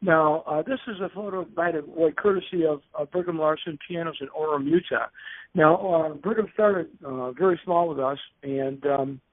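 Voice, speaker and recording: A man presenting informally to business callers as he leads a slide presentation, speaking in a halting, hesitant way and often circling back to restate his points. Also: fairly high pitch, dry recording. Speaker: company presenter leading a dealer conference call. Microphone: telephone. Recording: narrow, tinny phone conference line.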